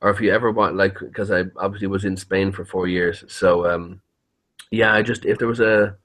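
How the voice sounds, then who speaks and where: Low-voiced man, rambling and joking. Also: steady tone, moderate pace, a bit bright. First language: English. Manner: rambling, hesitant, joking, swears freely. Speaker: interviewed YouTube horror story narrator. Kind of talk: casual interview chat.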